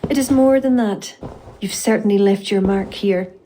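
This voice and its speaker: A woman as a mellow, teasing Irishwoman. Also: mid-range voice, moderate pace, a little hiss or hum, close to an everyday voice. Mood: warm, teasing. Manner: playful, familiar. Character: warm, teasing, shrewd Irish woman court poet.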